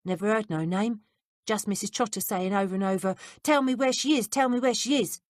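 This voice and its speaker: A woman's voice: mid-range voice, a little fast, dry recording, studio quality, pitched above the performer's own voice, natural voice. A woman voicing a sly, gossipy young male servant with blunt working-class speech. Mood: gossiping.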